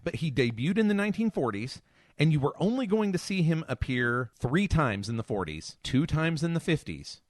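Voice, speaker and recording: A man's voice: a little high, big pitch swings, moderate pace, no echo, studio quality. A man co-hosting a podcast, discussing things casually and analytically in an opinionated, wry, explanatory way. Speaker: podcast co-host and superhero scholar.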